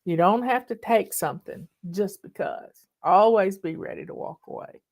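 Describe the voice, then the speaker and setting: A woman's voice: mid-range voice, moderate pace, darkish tone. Older Southern woman, host of her own channel; folksy, chatty and a bit bossy, in a casual storytelling voice. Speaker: online host. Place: home in the mountains.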